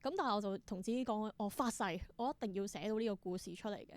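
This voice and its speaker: Hk cantonese woman chatting as a podcast guest, medium pitch, tells little stories, very dry room. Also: quick pace, no room sound. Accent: Hong Kong Cantonese. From Hong Kong. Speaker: interview guest, theatre performer and creator. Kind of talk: casual conversational storytelling.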